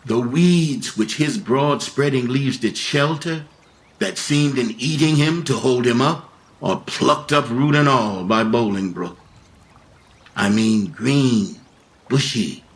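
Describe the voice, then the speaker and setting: A grave royal gardener in a Shakespearean radio drama. He speaks verse slowly and solemnly in a medium-pitched voice, with a sorrowful, reflective temper. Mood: grave, musing. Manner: measured, solemn verse delivery. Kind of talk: Shakespearean radio play acting.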